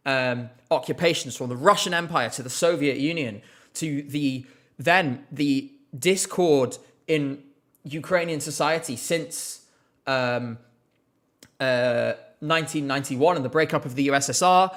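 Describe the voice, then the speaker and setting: A man's voice: fairly high pitch, fairly bright tone. A man hosting a casual live stream, chatting in a rambling way with lots of fillers and a slight cough. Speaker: livestream host.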